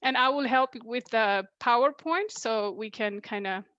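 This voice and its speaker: A woman's voice: on the high side, measured pace, fairly bright tone, dry recording, dull audio. A middle-aged woman from Nepal, introducing a speaker in a friendly way over a video call, laughing easily. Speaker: guest introducer.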